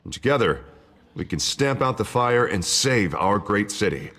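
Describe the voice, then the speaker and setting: A man's voice: on the low side, big pitch swings, normal speed, a bit bright. An American man acting as an upbeat, polished district attorney running for mayor in a video game. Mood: rousing, grateful. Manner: polished, jovial speechmaking. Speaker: politician campaigning for mayor. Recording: music behind the voice.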